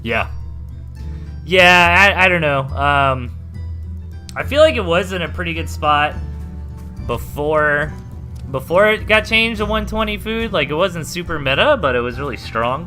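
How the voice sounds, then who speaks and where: Lively, bright-voiced male game caster. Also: a little high, measured pace, long pauses, faint music behind. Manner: upbeat, chatty play-by-play with frequent fillers. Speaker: host caster. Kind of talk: live esports game commentary.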